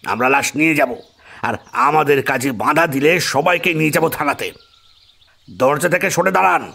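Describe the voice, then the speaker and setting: An actor playing a bossy, exasperated police officer in an audio drama, barking orders impatiently in a raspy voice. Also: male voice, fairly high pitch, normal speed, sharp tone, exaggerated voice. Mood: indignant.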